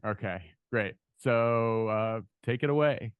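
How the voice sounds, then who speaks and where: A man's voice: medium pitch, takes it slowly, clean tone, no room sound, narrow, tinny audio. A man hosting a seminar, giving a casual spoken introduction of a guest speaker.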